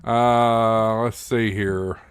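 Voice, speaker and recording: A man's voice: on the low side, steady tone, extremely slow, clean tone, no room sound. A man hosting a casual live Q&A, reading out viewer comments and answering in a folksy, plain-spoken way. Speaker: livestream host.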